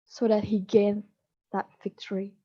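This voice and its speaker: A woman reciting a spoken-word poem in a slow, measured delivery with a bitter edge. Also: mid-range voice, little pitch movement, warm tone. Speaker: poetry contestant. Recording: dull lo-fi recording.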